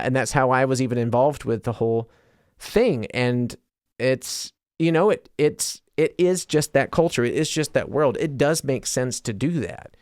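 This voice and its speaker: A man's voice: medium pitch, smooth, no room sound, clean studio sound. A man with an American accent hosting a podcast, talking casually in a rambling way and often interrupting himself. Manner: self-interrupting, trails into tangents. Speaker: podcast host.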